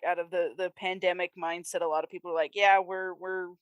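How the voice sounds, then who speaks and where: A woman's voice: lowish voice, flat delivery, a little fast, clear, resonant tone, no room sound. A woman discussing films casually as a podcast panel host, chatty and prone to acting out imagined quotes.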